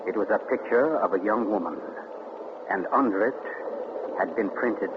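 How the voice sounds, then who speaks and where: An actor playing an urbane, theatrical mystery writer who tells his tale with deliberate, dramatic pauses in 1940s radio style. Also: lowish voice, close to an everyday voice. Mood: grave, suspenseful. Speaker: actor and narrator. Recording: muffled, noisy 1940s radio recording.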